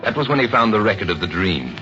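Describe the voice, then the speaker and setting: A man narrating an old-time radio drama in a formal, deliberate, portentous style. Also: on the low side, big pitch swings. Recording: dull old radio recording, music behind.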